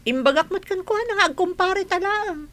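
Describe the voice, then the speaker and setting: A woman's voice: very high voice, animated, normal speed, a bit bright. A woman playing a beleaguered married mother who sells food in a village, in Filipino-accented radio drama. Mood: modest, hesitant.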